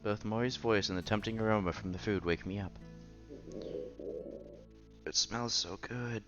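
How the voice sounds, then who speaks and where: Casting: male game streamer, lowish voice, reading story text aloud with playful asides, slightly dull recording, faint music. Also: moderate pace. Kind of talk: read-aloud game narration with casual asides. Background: quiet game music.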